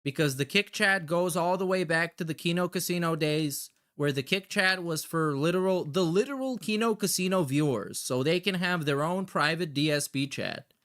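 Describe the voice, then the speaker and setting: A man with a fairly high voice hosts a casual reaction show. He is sarcastic and mocking, and he swears freely as he comments. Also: normal speed, few pauses, fairly bright tone. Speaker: podcast host reacting to clips.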